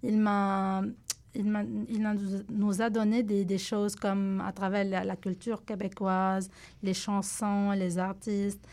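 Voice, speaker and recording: Woman speaking halting French. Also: mid-range voice, little pitch movement, darkish tone. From Lebanon. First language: Arabic. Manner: halting, searching for words in a second language. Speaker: guest. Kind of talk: radio interview answers.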